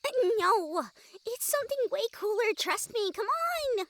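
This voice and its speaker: Woman acting a very high, bubbly harpy girl. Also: sing-song, measured pace, fairly bright tone, exaggerated voice. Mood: excited, playful. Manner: eager, girlish, bubbly. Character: excitable, kind-hearted young female harpy, very tall. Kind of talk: audio roleplay acting.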